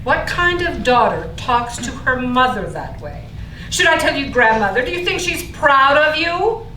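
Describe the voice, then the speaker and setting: Woman acting a bitter mother, high sweeping voice. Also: sing-song, a little slow, pushed and bright, exaggerated voice. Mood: scornful. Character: bitter, scornful sick mother berating her daughter. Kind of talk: staged play reading. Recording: echoey room, distant mic, faint noise.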